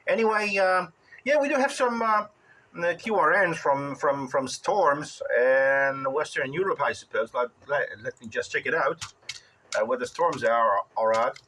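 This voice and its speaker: A man in his forties with a Polish accent, chatting casually as a ham radio operator in a halting way, often repeating words. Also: fairly high pitch, bright, forceful tone, poor audio. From Poland. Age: 40s. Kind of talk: casual radio contact chat.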